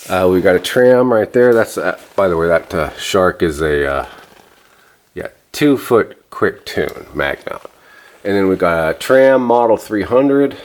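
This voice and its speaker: Male hobby host, lowish pitch, off-the-cuff and rambling delivery, dryly understated. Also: darkish tone. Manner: rambling, hesitant, informal. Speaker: host of a CB radio hobby channel. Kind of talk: casual product test walkthrough.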